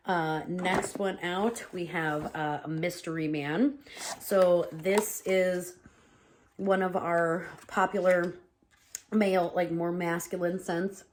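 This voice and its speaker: A woman with a low voice, stuffy and congested from a cold, talks casually at home about her favorite scents. She is chatty and loose, filling her sentences with "um" and "like." Faint noise sits behind her. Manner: chatty, full of "um" and "like". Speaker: vlogger reviewing home fragrances. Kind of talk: casual product review chat.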